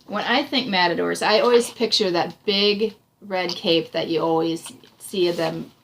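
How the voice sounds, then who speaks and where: A woman's voice: fairly low pitch, fairly even intonation, moderate pace, creaky, a little hiss or hum, low-quality recording. A woman reads a storybook aloud and discusses it in an encouraging, explanatory way. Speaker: reading tutor reading aloud to a child. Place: small room indoors.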